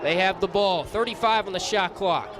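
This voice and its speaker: A young man with a high voice calls a live lacrosse game as a radio play-by-play announcer. He is excitable and lifts into shouts on big plays, with a busy crowd and plenty of noise behind him. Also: normal speed. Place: sports stadium during a live game. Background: crowd and arena noise.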